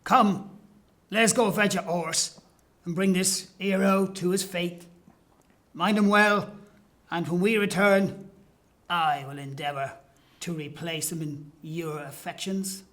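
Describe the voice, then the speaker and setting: A man playing a menacing soldier who hunts fugitives in a period audio drama, taunting and mocking in a deliberate manner. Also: high voice, fairly bright tone.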